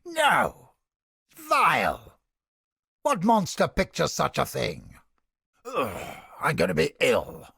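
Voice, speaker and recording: A man playing a haughty, creepy mind-reading interrogator in a video game, with a pompous, florid, theatrical delivery and a raspy, gravelly voice. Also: a little high, huge pitch swings, slow pace, long pauses, bright, forceful tone, no echo, studio quality, full character voice. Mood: disgusted, scornful. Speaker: game character. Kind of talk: video game character voice lines.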